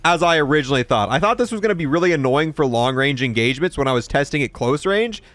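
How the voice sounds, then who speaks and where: A man's voice: on the high side, animated, quick pace, fairly bright tone, no echo. A man giving casual commentary as he shows off items in a video game. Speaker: gaming video creator.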